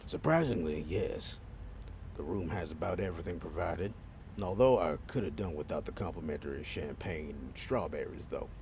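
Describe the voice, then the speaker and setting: A man playing a reserved agent with dry humour in an audio drama, understated and deadpan. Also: rumbling low, moderate pace, creaky, slight background noise.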